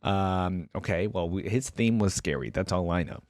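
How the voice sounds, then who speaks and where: A man's voice: deep voice, normal speed, darkish tone, dry recording, studio quality. A man hosting a reaction video, switching between reading aloud and casual, conversational commentary as he thinks aloud.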